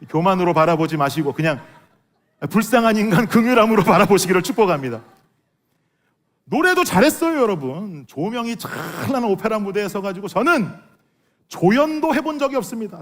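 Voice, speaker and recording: A middle-aged man with a hoarse, worn voice, preaching an earnest, emphatic sermon as a pastor and putting rhetorical questions to his congregation. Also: high-pitched. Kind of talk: Sunday sermon with personal testimony.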